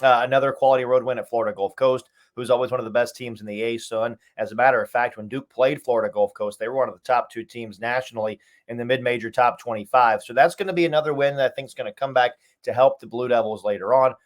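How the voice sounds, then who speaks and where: A male radio sports announcer speaking as an interview guest. He talks quickly in a mid-range voice, giving fluent analysis of a team's play. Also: medium pitch.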